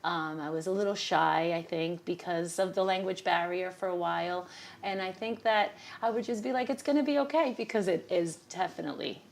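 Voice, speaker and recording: A Puerto Rican woman, a Spanish teacher in her fifties or sixties, talking in an animated, teacherly way as a podcast guest. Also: fairly low pitch, fairly quick, gravelly. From Puerto Rico. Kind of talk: casual podcast interview.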